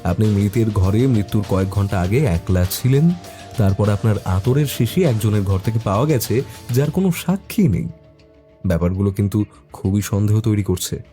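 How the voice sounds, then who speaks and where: A man's voice: lowish voice, animated, moderate pace, warm tone, faint music behind. A man reading a scripted detective story as narrator, also speaking the detective's questions.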